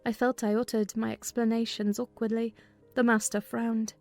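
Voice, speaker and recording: A woman narrator reading a classic novel as a dramatized audiobook, in an expressive literary manner. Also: fairly high pitch, moderate pace. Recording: music behind the voice.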